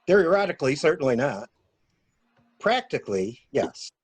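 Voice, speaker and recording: Guy doing a polite flustered traveler in a murder mystery play, stammery, highish voice, dry sound. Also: sing-song, moderate pace, breathy edge, no echo. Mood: probing. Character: stranded male engineer, flustered, talkative, chivalrous and inquisitive. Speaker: actor in an amateur library reading. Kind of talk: dramatic reading of a stage murder mystery.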